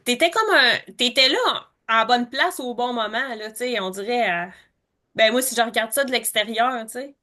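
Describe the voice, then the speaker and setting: Female co-host, French Canadian accent, raised pitch, sharp bright tone, casual conversational delivery. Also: expressive, normal speed. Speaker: podcast co-host.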